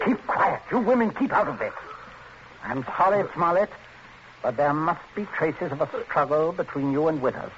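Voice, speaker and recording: Coldly menacing patriarch, swinging intonation. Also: male voice, fairly high pitch, expressive, a bit bright. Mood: sharply commanding. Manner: clipped, deliberate, icily polite menace. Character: cold, controlling middle-aged father plotting a cover-up. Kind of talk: 1940s radio suspense acting. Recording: dull, noisy vintage 1940s radio recording.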